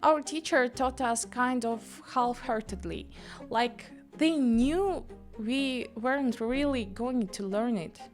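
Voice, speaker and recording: Young woman with a Russian accent, narrating a vlog essay, slow and thoughtful, slightly high voice, faint music behind. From Kyrgyzstan. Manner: slow, reflective, laughs lightly. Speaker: channel host.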